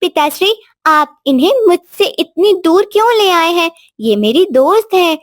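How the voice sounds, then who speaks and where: A woman's voice: very high voice, animated, normal speed, no room sound, clean studio sound. A woman voicing a sweet, tender-hearted young princess in a cartoon moral story, earnest and childlike. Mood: plaintive. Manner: earnest, childlike, formal wording.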